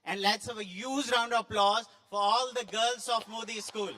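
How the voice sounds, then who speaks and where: Man, high bright voice, emphatic judge's speech, long hall reverb. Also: steady tone, moderate pace, a little hiss or hum. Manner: effusive, emphatic. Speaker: judge at a school play competition. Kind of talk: impromptu speech to an audience. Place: large school hall.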